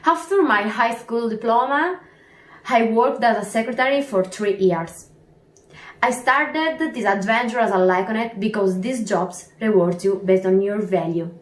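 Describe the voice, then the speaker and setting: In a reverberant room, a woman in her early twenties speaks with an Italian accent, her native language being Italian. She gives a prepared testimonial at an average pitch. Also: a little slow. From northern Italy. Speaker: network marketer giving a testimonial. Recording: echoey room sound.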